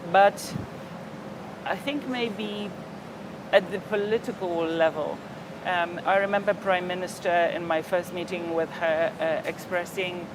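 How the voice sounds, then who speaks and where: An older man from Poland, a diplomat speaking in a television interview in a reflective, measured way with frequent hesitations. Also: sits high, noisy background. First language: Polish. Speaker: ambassador.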